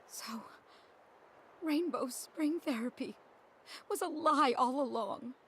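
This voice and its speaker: A woman's voice: sits high, slow, a little hiss or hum. A woman voicing a blunt, world-weary older townswoman in a video game, scolding yet caring. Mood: grim. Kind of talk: video game dialogue acting.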